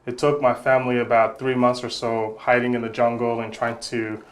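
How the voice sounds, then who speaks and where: A man from Congo speaks as a podcast guest in a steady, middle-pitched voice. He is earnest and reflective, and often repeats a word or two while he gathers his thoughts. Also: normal speed. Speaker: guest, nonprofit founder. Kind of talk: interview answers.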